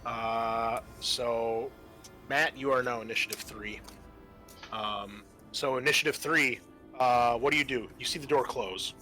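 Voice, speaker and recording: A man narrating a tabletop horror game as game master, improvising in a halting, casual way with some swearing. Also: medium pitch, fairly even intonation, pushed and bright, faint music behind.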